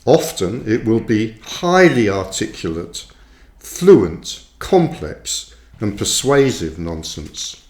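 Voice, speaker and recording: A man reading his own reflective book aloud like an audiobook, with deliberate, measured phrasing and pauses. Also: on the low side, sing-song, darkish tone. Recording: roomy, echoing voice recording.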